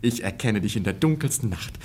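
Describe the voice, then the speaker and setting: A wheedling, lovesick servant in a classic radio comedy. His voice is husky and swoops dramatically up and down. He coos theatrically and scares easily. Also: lowish voice, animated, fairly quick. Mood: cooing, gossiping. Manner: theatrical, exclamatory, cooing endearments. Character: lovestruck, talkative male servant sweetheart, wheedling and easily frightened. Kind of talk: German radio play acting.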